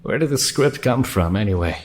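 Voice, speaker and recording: A terse amateur theater player, acted by a man, with a lowish voice. He delivers audio drama dialogue plainly and matter-of-factly. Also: lively intonation, moderate pace, close to an everyday voice. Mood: casual, curious. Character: terse, matter-of-fact male amateur stage actor.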